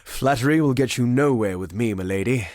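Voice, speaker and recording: An actor playing a vain, flirtatious gladiator duelist in a video game, with a theatrical, suave and sardonic delivery. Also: male voice, medium pitch, brisk, a little mellow, no echo, studio quality, lightly acted. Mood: triumphant, boastful. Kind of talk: scripted game voice lines, theatrical quips.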